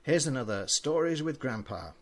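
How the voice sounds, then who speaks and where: An older man, a grandfather, reading children's poems aloud with an expressive storybook delivery. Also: mid-range voice, normal speed.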